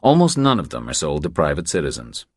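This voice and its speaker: A man's voice: extremely low pitch, wildly swooping pitch, a little fast, darkish tone, husky, no echo, clean studio sound. A man reading a thriller audiobook as its narrator, in a scripted storytelling style.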